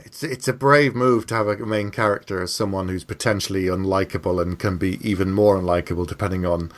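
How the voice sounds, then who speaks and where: Male podcast host, lowish voice, relaxed conversational interviewing. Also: fast talker. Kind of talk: casual interview chat.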